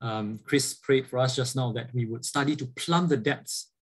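Male Bible teacher on a video call, husky voice, middle pitch, earnest, lots of fillers and restarts. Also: normal speed. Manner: earnest, asks the audience rhetorical questions; frequent fillers and repeated restarts. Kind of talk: church lecture over slides.

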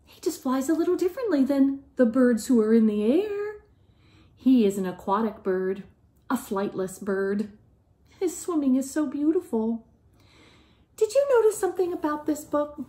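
A middle-aged woman reading a picture book aloud to young children in an expressive teacherly way. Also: high-pitched. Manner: expressive, questioning, child-directed delivery. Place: living room at home, indoors.

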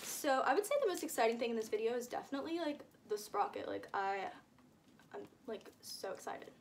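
A woman in her late teens speaks in a fairly high voice, in a casual, rambling vlog style. She gushes and exaggerates as she goes. The recording is homemade, with some noise behind her. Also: animated, fairly quick. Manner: rambling, gushing, uses frequent "like" and hyperbole. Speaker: vlogger showing shopping haul. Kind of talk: casual chatty haul vlog. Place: indoors, small room with curtain backdrop.

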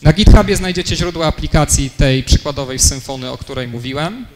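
A man's voice: a little high, fairly quick. A young man gives a talk at a technical conference. He explains step by step, with "e" fillers and restarts, in a slightly raised voice. The hall rings with long reverb and a faint hiss sits underneath. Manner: fillers and self-corrections, explanatory. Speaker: conference speaker. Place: conference room, lecture hall.

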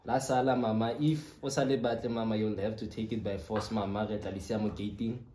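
A man's voice: on the low side, steady tone, brisk, mellow. A South African man making a prank phone call as a company agent, speaking in an insistent, repetitive yet respectful way. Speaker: prank caller. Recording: roomy echo with background noise.

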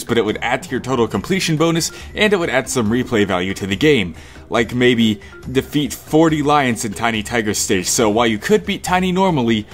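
A young man with a slightly low voice talks through a stuffy nose, clearly under the weather. He rambles in an eager, casual way as he speaks to his viewers. Faint music plays quietly underneath. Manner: rambling, enthusiastic brainstorming. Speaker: video creator. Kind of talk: casual commentary to camera viewers.

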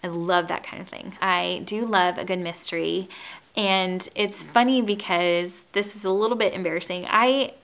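A woman in her thirties with a breathy, calming voice, chatting casually to camera from her living room. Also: lowish voice, normal speed. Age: 30s. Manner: gentle, calm, chatty with light laughs. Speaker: home and lifestyle YouTuber. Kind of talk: casual get-to-know-me chat to camera. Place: home living room with wood floors. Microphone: camera at chest-up framing.

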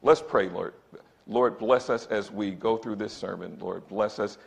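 A man's voice: fairly low pitch, unhurried, vocal fry. A man in his sixties, a church elder, preaching emphatically from a pulpit and often calling out to brothers and sisters. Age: 60s. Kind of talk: sermon. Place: church sanctuary, indoors. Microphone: pulpit microphone, far camera.

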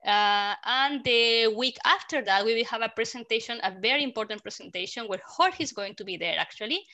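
Woman host, bright voice, halting with many fillers, headset mic. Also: fairly high pitch, animated, lo-fi sound. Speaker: host of a design community program. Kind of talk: hosting a video call session. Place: home room indoors. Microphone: headset mic on a video call.

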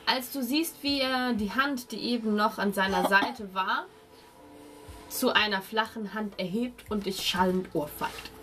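A woman narrating a scene as game master, deliberately and with dramatic pauses. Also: mid-range voice, fairly bright tone, slight background noise. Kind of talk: atmospheric tabletop game narration.

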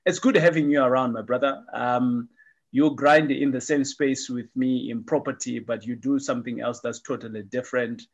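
A man's voice: mid-range voice, steady tone, moderate pace, breathy edge, muffled recording. Upbeat middle-aged man hosting a video call. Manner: upbeat, repeats phrases like "awesome stuff". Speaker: host. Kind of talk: casual video-call interview.

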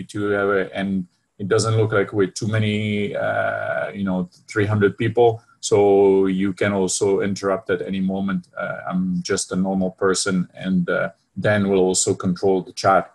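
Belgian man with French accent, gravelly. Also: low-pitched, darkish tone. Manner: hesitant, many fillers and restarts, keeps asking "right?". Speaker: university department head presenting. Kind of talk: online lecture presentation. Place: home room with bookshelves. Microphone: laptop webcam video call.

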